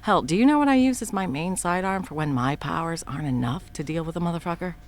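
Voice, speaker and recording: Woman playing a taunting, low-voiced supervillain. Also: animated, quick pace, lightly acted. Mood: menacing. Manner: taunting, profane, swings between whispers and outbursts. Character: menacing female supervillain, cruel, scheming, mocking. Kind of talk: audio roleplay acting.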